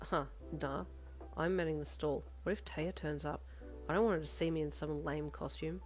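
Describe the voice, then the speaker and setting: A woman's voice: deep voice, fairly even intonation, normal speed, soft background music, natural voice. Story role: laid-back, keen student boy gamer, read by a woman, slangy offhand delivery. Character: casual, eager teenage boy gamer.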